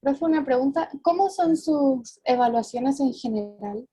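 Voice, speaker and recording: A woman's voice: high voice, expressive, unhurried, warm tone. A woman student asking her teacher a question on a video call, polite and informal. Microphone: video call mic.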